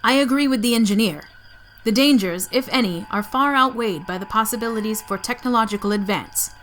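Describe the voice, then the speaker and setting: A woman's voice: a little high, brisk, fairly bright tone, a little hiss or hum, lightly acted. A woman playing a coolly logical starship science officer in a sci-fi audio drama, speaking formally and precisely. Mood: cool, composed.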